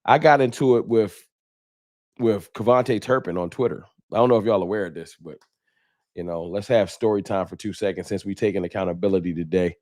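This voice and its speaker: A man's voice: on the low side, a little fast, darkish tone, no echo, studio quality. An American man hosting a sports fan livestream, talking casually and candidly to his viewers in informal, colloquial speech. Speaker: livestream host, sports fan content creator. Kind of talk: casual livestream talk to viewers, reading chat.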